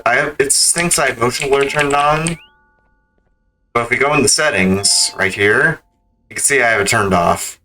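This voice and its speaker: A man's voice: medium pitch, moderate pace, sharp tone, faint music behind, poor audio. A man giving casual gameplay commentary as a speedrun streamer. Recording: keyboard clicks and background noise.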